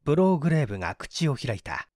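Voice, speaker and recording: Guy narrating a story, lively pitch, on the high side, dry sound. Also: fast, no room sound. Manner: expressive storytelling. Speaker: audiobook narrator, stage actor. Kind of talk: dramatic scripted literary narration.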